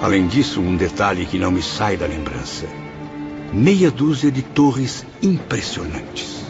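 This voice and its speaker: An anguished male spirit in a radio drama, looking back on the afterlife with awe. His delivery is dramatic and very animated in pitch. The sound is dull and lo-fi, with music clearly underneath. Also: measured pace, exaggerated voice. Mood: somber, awed. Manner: dramatic, awed, reflective storytelling. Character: anguished Portuguese man, a spirit recalling the afterlife.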